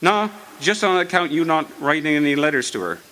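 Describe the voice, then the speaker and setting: A man's voice: a little high, lively intonation, brisk, bright, forceful tone, low-quality recording. A man acting in a radio drama as a friendly, nosy small-town storekeeper with a homespun, rustic way of talking. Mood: folksy, curious.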